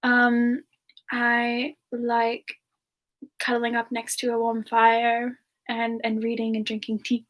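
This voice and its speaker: Woman voicing a gentle, high-pitched young frost demoness. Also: monotone, takes it slowly. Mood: sweet, affectionate. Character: gentle young female frost demon singer, sweet and affectionate. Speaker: streamer answering viewer questions in character. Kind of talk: semi-ASMR in-character live Q&A.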